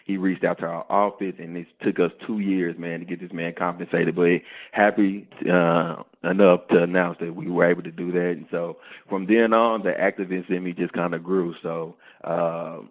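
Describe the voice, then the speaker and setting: A man's voice: sits low, little pitch movement, moderate pace. A man in his twenties from the American South, a guest on a podcast, chatting in an easygoing way and often calling the host "man". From Arkansas, US South. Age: 20s. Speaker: podcast guest, startup co-founder. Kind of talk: casual interview chat. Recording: phone-line sound.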